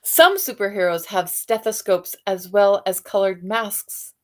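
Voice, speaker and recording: A woman reading a picture book aloud to children, expressive, with soft musing questions for young listeners. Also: medium pitch, measured pace. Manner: expressive reading, alternating with softer musing asides and hums. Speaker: read-aloud storyteller for children.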